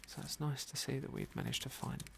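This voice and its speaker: British guy whispering through a game playthrough, gravelly low-key asmr, gentle and polite. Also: wildly swooping pitch, fairly quick. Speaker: host narrating a game playthrough. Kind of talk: whispered ASMR roleplay and commentary.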